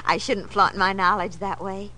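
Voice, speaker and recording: A woman's voice: medium pitch, unhurried, close to an everyday voice. An American actress playing a nervous, bookish woman with an effusive, affected manner in 1940s radio drama. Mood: airy, affected. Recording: old radio recording, faint noise.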